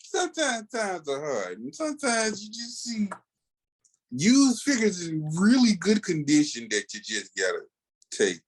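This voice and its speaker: A man's voice: high-pitched, wildly swooping pitch, a little slow. A man co-hosting a podcast in casual chat, with a laid-back, slangy, colloquial way of talking. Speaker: podcast co-host.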